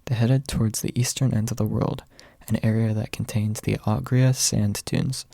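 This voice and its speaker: A young man with a soft, husky voice, reading quietly in an ASMR audiobook style, close to the microphone. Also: lowish voice, no room sound. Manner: soft-spoken, hushed late at night. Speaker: amateur audiobook reader. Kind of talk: ASMR, quiet storybook narration. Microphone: close USB microphone without pop filter.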